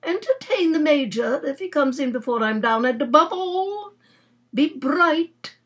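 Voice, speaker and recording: The self-satisfied mistress of a country house issuing brisk orders across the hall in a storybook, acted out by a woman reader, her voice swinging up and down. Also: high voice, lively intonation, pitched above the performer's own voice. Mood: brisk, commanding. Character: imperious older lady of a country house.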